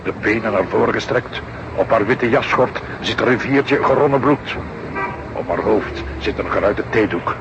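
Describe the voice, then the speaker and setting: Muffled noisy recording, male actor as gruff crime-scene photographer, grumbling, descriptive, radio play. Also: fairly low pitch, normal speed, natural voice. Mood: appalled, descriptive. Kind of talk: radio drama acting.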